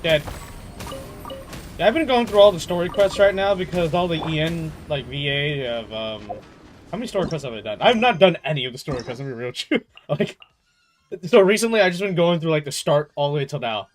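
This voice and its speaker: A young man chats on a game livestream in a somewhat high voice. He is casual, slangy and playful as he reacts, with faint game music behind him. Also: expressive, a little fast. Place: in a room at home.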